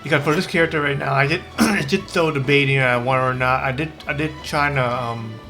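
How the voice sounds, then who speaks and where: A man talks casually on a game stream at a middling pitch, with music playing behind him. His delivery rambles and halts, circling back to repeat phrases as he goes. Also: steady tone, pushed and bright. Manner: rambling, halting, repeats himself. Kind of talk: casual livestream chat.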